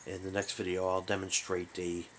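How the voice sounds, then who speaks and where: A man hosting a hobby show, giving a casual product overview in a halting, rambling, unscripted way. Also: sits low, monotone, a little hiss or hum, low-quality recording. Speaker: host of a hobby radio show. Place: small bedroom, indoors.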